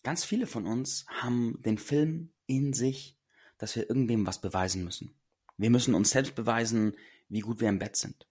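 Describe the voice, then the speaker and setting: A man hosting a podcast and speaking earnestly to listeners, a little hoarse today. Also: mid-range voice, normal speed, darkish tone. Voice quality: husky. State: a bit hoarse. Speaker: podcast host and coach. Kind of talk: solo podcast talk.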